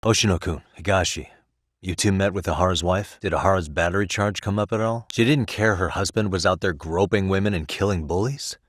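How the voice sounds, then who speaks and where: A man's voice: low-pitched, fairly quick, dry recording. A man with an American accent voicing an easygoing, principled detective in a video game, talking in a dry, wry way as he reasons things through. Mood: casual, wry. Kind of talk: video game voice acting, conversational dialogue.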